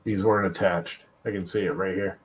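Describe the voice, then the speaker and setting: A man with a lowish voice, talking loosely on a live stream. He wanders between tinkering and storytelling and swears casually. His tone stays offhand and conversational. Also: fairly even intonation, a little fast, rough recording. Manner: rambling, swears casually, tells stories with mimicry. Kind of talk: casual chat while 3D printing.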